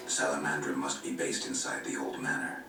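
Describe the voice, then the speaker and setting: A gruff deadpan monster hunter from a game, super low voice, tons of echo. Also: male voice, moderate pace. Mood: matter-of-fact. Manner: terse, deadpan. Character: gruff, stoic male monster hunter, defiant and dry. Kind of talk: video game cutscene acting. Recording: very echoey game audio, distant, faint music.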